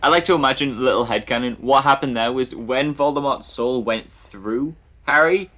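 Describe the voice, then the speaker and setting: A man's voice: medium pitch, moderate pace. A man giving casual, joking and sweary film commentary as co-host of a reaction show. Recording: loud film audio behind the voice. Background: film soundtrack with music.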